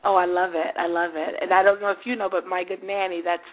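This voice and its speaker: A woman's voice: fairly low pitch, flat delivery, fast talker, phone-quality sound. A woman hosting a radio show, chatting casually with effusive enthusiasm. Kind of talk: casual interview chat.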